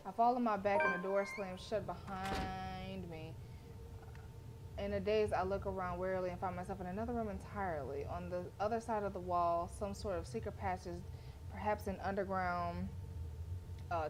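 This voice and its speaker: A woman game streamer reading story narration aloud in an expressive, dramatic way, with casual delivery between passages. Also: mid-range voice, background noise. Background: game music.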